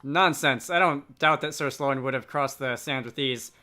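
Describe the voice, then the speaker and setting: A man voicing a polite, thoughtful young princess from a game, with formal, gentle phrasing. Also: mid-range voice, normal speed, soft background music, natural voice. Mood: earnest.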